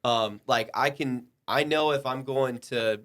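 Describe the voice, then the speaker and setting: A man with a mid-pitched voice hosts a casual panel conversation. He banters with the others and takes his time laying out his points in full. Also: unhurried, a bit bright. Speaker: podcast host.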